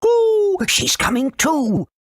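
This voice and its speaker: A man voicing a fussy, caring elderly owl with a raspy voice and theatrical delivery in a video game. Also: extremely high pitch, very animated, takes it slowly, no echo, clean studio sound, heavily acted. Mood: excited, fussy. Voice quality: raspy, creaky.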